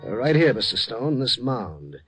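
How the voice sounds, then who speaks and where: An actor playing a nervous, hesitant, fussily polite funeral director in a 1950s radio drama. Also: male voice, medium pitch, very animated, measured pace, a bit bright, faint music behind. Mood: stiff, proper. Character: nervous, proper funeral director guarding his firm's dignity.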